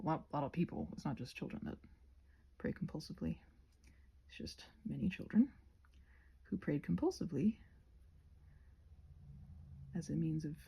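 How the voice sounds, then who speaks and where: A woman chatting casually in a rambling ASMR style, breathy and often slipping toward a whisper, with playful, self-mocking asides. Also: fairly low pitch, lo-fi sound. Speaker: ASMR creator painting a craft while rambling.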